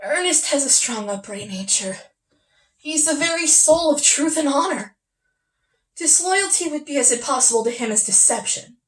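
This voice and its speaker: A woman's voice: high-pitched, lively intonation, normal speed, poor audio. A woman performing a comic stage monologue as a haughty young society lady, catty, with affected, emphatic politeness. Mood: lofty. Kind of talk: stage monologue from a comedy of manners.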